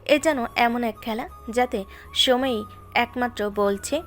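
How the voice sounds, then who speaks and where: A woman's voice: fairly high pitch, slow pace. Woman narrating a story over music. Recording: music bed under the voice.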